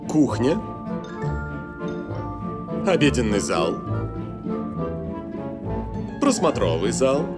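A theatrical cartoon coach with a grand, drawn-out delivery. His pitch rises and falls widely. A loud music score plays under him. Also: big pitch swings. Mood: showy welcome. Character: smooth, theatrical male coach hosting a grim castle.

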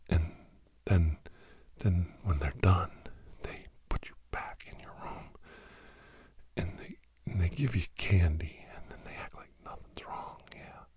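A middle-aged man hosts an ASMR podcast in a breathy whisper that now and then drops into a very deep murmur. He speaks close to the mic in a furtive, rambling way, pausing and repeating himself as if confiding secrets. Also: a little mellow. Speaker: podcast host. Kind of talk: whispered ASMR monologue, improvised conspiracy rambling.

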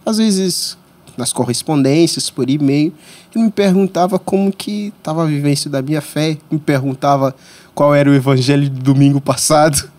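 Guest: Congolese man, 30s, French first language, animated telling of a story, studio boom mic. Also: moderate pace. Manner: animated storyteller, pitch jumps when excited. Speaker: podcast guest, missionary. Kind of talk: storytelling interview. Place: small podcast studio, indoors. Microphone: studio mic on boom arm.

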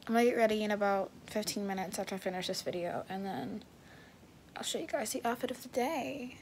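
A teenage girl chatting casually to viewers in a vlog, her voice a bit raspy, filmed on a phone held close in a small bedroom. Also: medium pitch, brisk, slight background noise. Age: late teens. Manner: chatty, rambling, addresses viewers as guys. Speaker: vlogger. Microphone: phone held close at arm's length.

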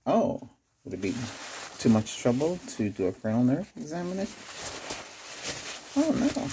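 A middle-aged man doing a casual ASMR doctor role-play, asking exam questions in a hesitant, unhurried way. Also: medium pitch, wildly swooping pitch, mellow, a little hiss or hum, low-quality recording. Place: small curtained room indoors.

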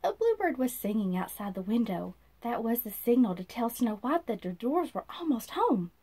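A woman in her thirties reading a children's storybook aloud in an expressive, sing-song manner, as if to young listeners. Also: on the high side, normal speed, breathy edge. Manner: sing-song, animated, asks listeners questions. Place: small indoor room.

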